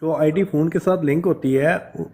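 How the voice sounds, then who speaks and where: A man's voice: fairly high pitch, moderate pace, very noisy. A man talking casually in a live group chat.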